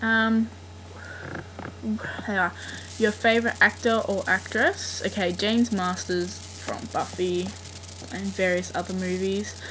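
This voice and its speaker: A woman's voice: medium pitch, steady tone, slow. A woman from Australia with an Australian accent, answering fan questions in a casual, rambling way with frequent ums. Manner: rambling, hesitant, many ums. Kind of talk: casual voice meme monologue. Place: home room. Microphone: built-in laptop mic. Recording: noisy home laptop recording.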